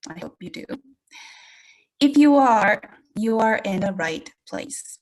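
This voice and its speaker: A woman with a Korean accent gives a prepared online presentation. Her voice sits at a middle pitch for a woman. She speaks deliberately, like an educator, and addresses her listeners directly. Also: lively intonation, a little mellow, poor audio. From South Korea. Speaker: presenter, English language educator. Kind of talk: webinar presentation, prepared talk.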